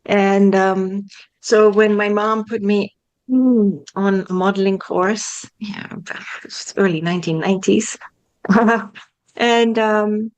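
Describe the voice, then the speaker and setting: Dutch-born woman in her 40s, chatty and jokey, lots of um and you know, middle pitch. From Netherlands. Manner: chatty, jokey, many fillers. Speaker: guest, spiritual coach. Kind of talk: casual interview chat.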